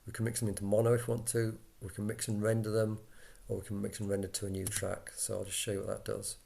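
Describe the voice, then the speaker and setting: A man talking through an informal software tutorial, casual and a little hesitant as he thinks aloud into a laptop microphone. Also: fairly low pitch, completely flat delivery, quick pace, gravelly. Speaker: tutorial presenter for radio volunteers. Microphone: built-in laptop microphone. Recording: laptop mic, background noise, key clicks.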